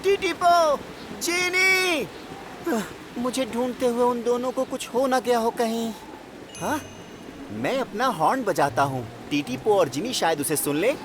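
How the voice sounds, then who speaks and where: A woman voicing a loyal, excitable young diesel locomotive in a children's cartoon dub, boyish and expressive. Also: fairly high pitch, unhurried, background noise, close to an everyday voice. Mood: anxious, calling.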